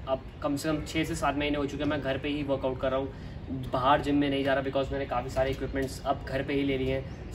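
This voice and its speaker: A young man with an Indian accent walks viewers through his home gym setup in an easy, chatty way. His voice sits at a middle pitch and stays fairly even. A faint outdoor ambience comes from the rooftop. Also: noisy background. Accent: Indian English. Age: young adult. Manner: chatty, mixing Hindi and English. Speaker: fitness YouTuber. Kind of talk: casual home gym tour. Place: sunny rooftop terrace.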